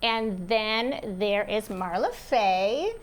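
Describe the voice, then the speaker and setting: A woman talks casually as a guest on an interview show. She speaks at a middle pitch, as a theatre director discussing her work in an easy conversational style. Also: very slow.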